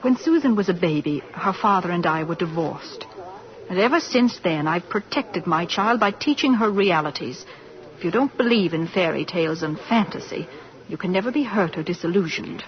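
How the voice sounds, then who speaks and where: A woman playing a no-nonsense, guarded working mother in a 1940s radio drama, brisk, polite and firm. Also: fairly low pitch, expressive, lightly acted. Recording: muffled vintage 1940s radio broadcast.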